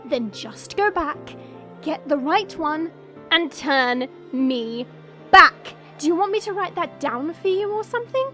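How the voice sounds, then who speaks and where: Audio drama dragon princess, played by a woman: bright high voice, imperious, mocking, short-tempered, music behind. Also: lively intonation, measured pace, exaggerated voice. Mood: indignant. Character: haughty, short-tempered dragon princess trapped in human form. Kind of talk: audio roleplay drama acting.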